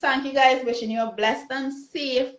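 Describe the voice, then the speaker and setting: A woman's voice: high-pitched, steady tone, normal speed. A woman with a Trinidadian accent hosting a live online interview, upbeat and chatty, often restarting her sentences. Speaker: host. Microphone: phone or laptop on a video call. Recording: roomy, dull lo-fi livestream audio.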